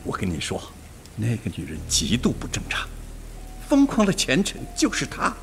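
Excitable police detective played by a man, swinging pitch. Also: normal speed, gravelly, slight background noise, heavily acted. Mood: urgent, suspicious. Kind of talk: Mandarin radio drama acting.

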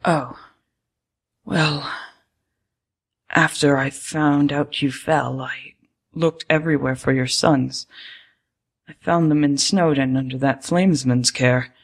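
An apologetic cartoon skeleton, played by a woman, speaks in a low register at an unhurried pace. The words come hesitantly and at length, full of regret, with an excitable streak. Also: husky, heavily acted. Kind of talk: fan comic dub acting.

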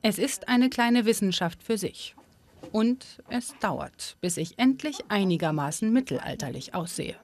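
A woman narrating a history documentary in a calm, informative and lightly playful manner, and also reporting on camera. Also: medium pitch, a little slow. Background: music.

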